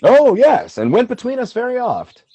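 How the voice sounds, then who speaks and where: A man playing a proud military commander and loving husband turning suspicious, in theatrical Shakespearean radio play style, swinging from tender to forceful. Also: extremely high pitch, wildly swooping pitch, moderate pace, husky, no echo, highs cut off, heavily acted. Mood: suspicious, agitated.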